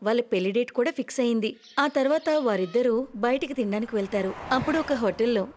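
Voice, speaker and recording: A woman's voice: a little high, fast, darkish tone. A woman narrating a scripted moral story. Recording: long reverberant hall echo.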